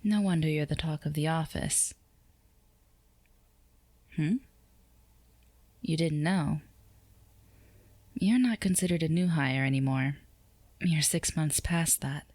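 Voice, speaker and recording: A woman playing a flirtatious, composed CEO boss in a scripted ASMR roleplay, speaking to the listener in an unhurried, teasing, formal way. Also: low voice, darkish tone, no echo. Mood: amused, praising.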